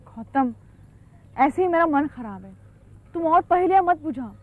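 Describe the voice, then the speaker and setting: Very high-voiced young female outreach worker. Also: expressive, normal speed. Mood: puzzled, frustrated. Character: earnest young female village outreach worker, easily frustrated, questioning. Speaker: actor in an educational training film. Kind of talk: acted film dialogue. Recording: muffled soundtrack with faint music.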